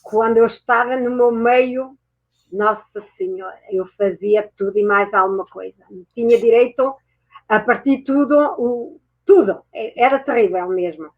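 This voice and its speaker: High-voiced woman on tinny audio. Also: a little slow. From France. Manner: reflective, speaks with many self-corrections. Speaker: guest, mindset mentor. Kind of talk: personal storytelling in a chat.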